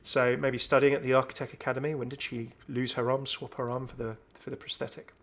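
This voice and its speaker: A man's voice: medium pitch, flat delivery, fast, fairly bright tone. A man hosting a gaming video, commenting casually and enthusiastically as he thinks aloud. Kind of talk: casual game update commentary.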